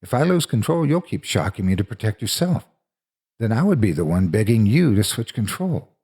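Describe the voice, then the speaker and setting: A man's voice: fairly low pitch, sing-song, moderate pace, mellow, slightly rough, full character voice. A man voicing a frightened, tearful ten-year-old boy who pleads and bargains in a story. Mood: tearful distrust. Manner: anguished, pleading.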